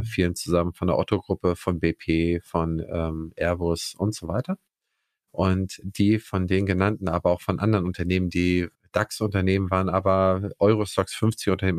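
A man interviews in a conversational way, drifting into anecdotes. His voice sits a little low, and the recording is very dry, with hardly any room around it. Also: no room sound. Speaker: podcast interviewer.

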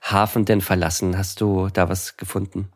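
A man's voice: deep voice, little pitch movement, normal speed. A man co-hosting a podcast, asking questions and adding unsure asides in casual talk. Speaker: podcast co-host, asks the questions.

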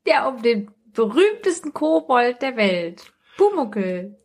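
Higher-voiced woman, playful podcast banter. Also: sing-song, takes it slowly, a little mellow. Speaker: podcast co-host. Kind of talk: casual comedic podcast chat.

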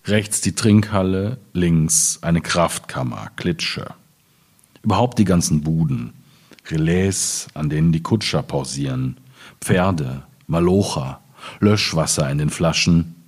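A man from Germany's Ruhr region, a poet at a literary reading, speaking in a husky, deliberate, measured way. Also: deep voice, darkish tone. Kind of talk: poetry reading with informal commentary.

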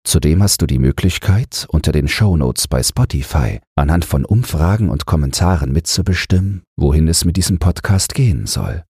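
A man's voice: rumbling low, expressive, moderate pace, hardly any pauses, a little mellow, breathy edge, no room sound. A man narrating a scripted fairy tale as an audiobook storyteller, close to the microphone. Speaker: narrator, podcast host.

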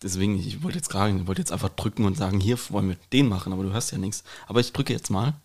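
A man's voice: on the low side, racing, darkish tone. A man co-hosting a podcast, talking casually and informally. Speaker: podcast co-host.

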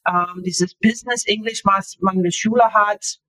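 A woman in her fifties, an author talking casually as an interview guest, halting and searching for words with many fillers. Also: mid-range voice, fairly even intonation, a bit bright, poor audio.

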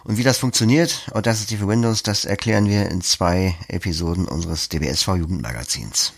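A man with a fairly low, creaky voice gives conversational interview answers as a podcast producer. He explains step by step with practical examples, recorded close and dry. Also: a little fast, no echo.

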